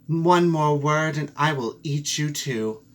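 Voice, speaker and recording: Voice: medium pitch, slow pace, lightly acted. A performer voicing a sly, greedy, domineering female cat in a storybook, cool, smug and dismissive. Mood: threatening. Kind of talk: storybook character voice.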